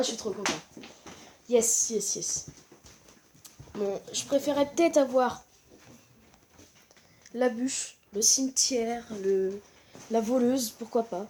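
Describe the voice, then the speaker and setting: A woman's voice: a little high, dark tone, slight background noise. A woman with a stuffy, congested voice from a cold, giving live gameplay commentary in short, repeated exclamations. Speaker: gaming channel host.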